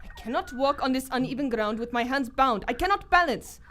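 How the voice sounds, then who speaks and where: A woman's voice: high voice, lively intonation, fairly quick, a bit bright. A woman playing a proud, loyal captive adventurer in live radio theater, formal and defiant. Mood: wary, insistent. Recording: live stage recording, faint background noise.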